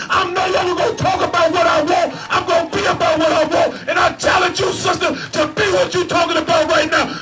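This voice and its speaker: A man running for office, giving a fiery shouted protest speech at a rally with a repetitive, preacher-like cadence. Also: extremely high pitch, low-quality recording. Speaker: political candidate at a rally.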